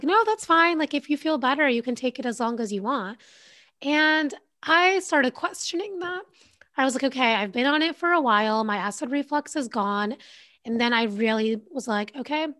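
A woman's voice: sits high, fairly bright tone. A woman in her twenties, a guest on a podcast, telling her story in a chatty way full of fillers. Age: 20s. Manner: chatty storytelling, lots of "like", quick bursts. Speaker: podcast guest, health coach. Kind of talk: casual interview chat.

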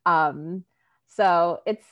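A woman's voice: fairly low pitch, extremely slow, muffled recording. A woman from New York whose first language is Spanish, chatting eagerly on a podcast and leaning on "like" a lot. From New York, United States. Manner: enthusiastic, lots of filler "like". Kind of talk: casual chat.

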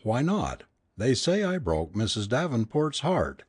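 A man's voice: medium pitch, wildly swooping pitch, unhurried, slightly rough, dry recording, clean studio sound, pitched above the performer's own voice, full character voice. A man voicing a cruel, cynical fake spirit medium in an audiobook, with a lofty, sinister, commanding manner. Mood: sinister, mocking. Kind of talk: dramatic audiobook character reading.

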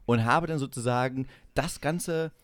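A man in his forties from East Germany talks as a podcast co-host in a casual, storytelling way. His voice sits at a medium male pitch and he likes teasing banter. The sound is dry and close, with very little room. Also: measured pace, no room sound. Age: 40s.

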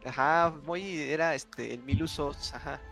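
A Mexican man with a slightly raised speaking pitch hosts a relaxed group chat, reading messages aloud with lively energy. Clear music plays underneath his voice. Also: unhurried. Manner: lively, reads messages aloud and jokes along. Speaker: podcast host reading listener mail.

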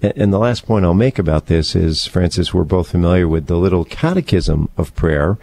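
Deep gravelly man, halting and deliberate. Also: expressive, mellow. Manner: halting, deliberate, earnest explaining. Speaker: co-host presenting book recommendations. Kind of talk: conversational book discussion.

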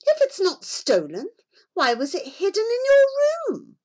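A woman's voice: extremely high pitch, huge pitch swings, a little slow, darkish tone, voice pushed up, heavily acted. A woman reading a story aloud, voicing a harsh, spiteful housekeeper. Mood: scornful.

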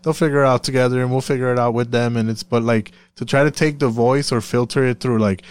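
A man's voice: mid-range voice, steady tone, quick pace, clear, resonant tone. A man from Puerto Rico in a casual, profane discussion, speaking emphatically and often circling back to repeat himself.

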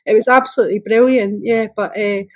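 A woman's voice: medium pitch, steady tone, a little fast, a little mellow. A Scottish woman talking casually as a guest, telling stories in a hesitant, meandering way. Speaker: special guest. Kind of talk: casual storytelling.

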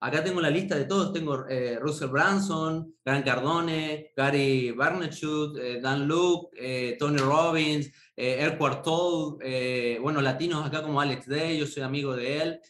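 A man's voice: on the high side, a little slow, few pauses, fairly bright tone. A man giving emphatic, enthusiastic answers as a guest in a conversational podcast interview. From Argentina. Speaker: podcast guest, motivational entrepreneur. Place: remote call from a room. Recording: echoey room.

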